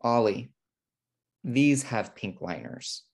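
A man's voice: on the low side, big pitch swings, takes it slowly, creaky, slightly rough, full character voice. An American man playing a fussy, controlling twin brother in a sitcom table read. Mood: earnest. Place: indoor room, on a video call. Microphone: video call microphone.

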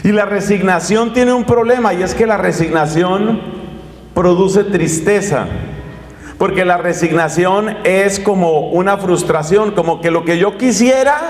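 A middle-aged Colombian man preaching with a handheld microphone, animated and gesturing as he talks straight to his listeners in a hall. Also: high-pitched, a little slow. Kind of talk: lively sermon talk to an audience. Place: conference hall with curtained stage. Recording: echoey hall reverb.

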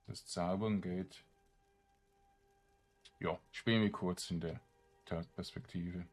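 A man with a very deep voice gives casual let's play commentary. He talks slowly and hesitantly, thinking aloud as he goes, with music clearly playing behind him. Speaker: gamer, let's play host.

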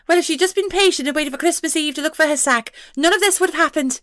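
A tiny female pixie, pitched very high and sounding snappish and petulant. She bargains and threatens like a spoiled little schemer in a fantasy storybook, recorded close and dry. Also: fast talker, a bit bright, no echo. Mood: annoyed, huffy. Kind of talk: audiobook character voice.

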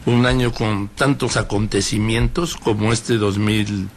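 A male radio host, talking in a measured way and listing dates and past events. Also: on the low side, flat delivery, a little hiss or hum. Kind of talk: radio interview conversation.

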